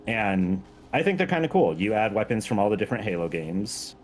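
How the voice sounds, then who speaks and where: A man's voice: on the low side, very animated, brisk, faint music behind. A man talking casually and earnestly on a gaming stream as a guest, informal and self-effacing. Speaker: guest, game mod creator.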